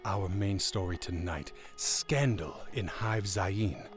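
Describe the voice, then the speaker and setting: An actor playing a suave, portentous radio news anchor in an audio drama, with theatrical pauses. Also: male voice, low voice, faint music behind.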